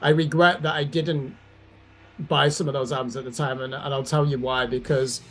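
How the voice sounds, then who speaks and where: A man's voice: medium pitch, level tone, normal speed, fairly bright tone, low-quality recording. A man co-hosting a casual music discussion, giving opinions with emphasis. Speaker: music reaction show co-host.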